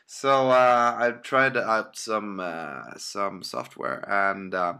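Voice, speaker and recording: A man's voice: on the low side, slow, sharp tone, clean tone. A young man whose first language is Swedish, casually commentating his own game late at night, rambling with many ums and uhs into a laptop mic. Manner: rambling, self-deprecating, lots of ums and uhs. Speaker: game caster. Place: at home at night. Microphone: laptop built-in mic.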